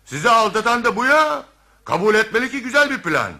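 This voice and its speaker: A man's voice: very high-pitched, very animated, fairly quick, sharp tone, heavily acted. A man playing a bossy, suspicious army general in a radio play, with a commanding, pompous manner. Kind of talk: radio theatre acting.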